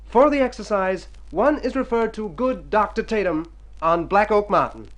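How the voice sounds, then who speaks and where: An actor playing an excitable, self-pitying hypochondriac in an old radio drama, with a theatrical, florid delivery. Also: male voice, high voice, normal speed. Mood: lofty, rapturous. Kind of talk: golden-age radio drama acting.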